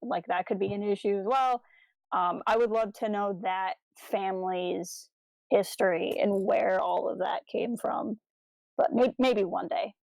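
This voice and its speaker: A woman co-hosting a podcast, talking casually as a fan and telling stories in an animated, slangy, enthusiastic way. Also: medium pitch, a little slow, vocal fry, no room sound, rough recording. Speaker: podcast co-host.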